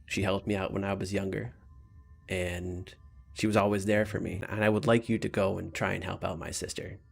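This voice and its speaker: Man playing an earnest, gravelly-voiced pleading wife. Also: deep voice, little pitch movement, moderate pace, voice pushed down, heavily acted. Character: earnest, pleading wife worried for her sister.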